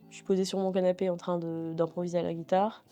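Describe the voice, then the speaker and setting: A young woman chats in a relaxed, unhurried way, her voice low-pitched and mellow. She pauses often and fills gaps while she searches for words. Faint music sits under the conversation. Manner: relaxed, hesitant, many fillers. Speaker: singer-songwriter guest. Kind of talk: casual interview chat.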